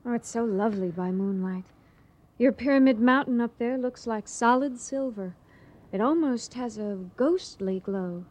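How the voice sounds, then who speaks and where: An actress playing an eager, curious young daughter of an archaeologist, full of polite wonder, in a radio drama. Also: female voice, fairly high pitch, moderate pace, a little mellow, close to an everyday voice.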